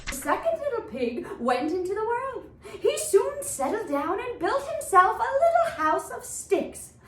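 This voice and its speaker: Woman storyteller for preschool read-aloud: theatrical, very high, bright delivery, slow and deliberate, echoey room. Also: far from the mic. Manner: theatrical, expressive. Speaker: children's teacher and storyteller.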